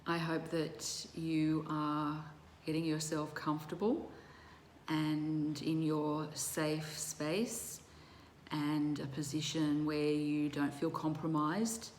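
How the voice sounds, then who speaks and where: A woman leading a guided relaxation as a yoga teacher, speaking in measured, soothing short phrases with long pauses. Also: deep voice, monotone, darkish tone. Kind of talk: guided meditation instruction. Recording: roomy echo with faint background noise.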